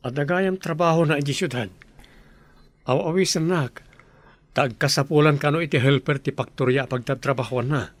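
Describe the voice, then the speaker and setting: A man's voice: a little high, moderate pace, close to an everyday voice. A man playing an earnest, devoted young village man in an Ilocano comedy radio drama, with an Ilocano Filipino accent. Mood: affectionate. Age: young adult.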